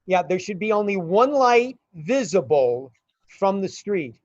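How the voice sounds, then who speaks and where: A woman playing a cautious criminal go-between in a radio play, halting and careful, on a dull recording. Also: mid-range voice, very animated, darkish tone. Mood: cautious, hesitant. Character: cautious, hesitant criminal go-between giving orders. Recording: dull, echoey room sound.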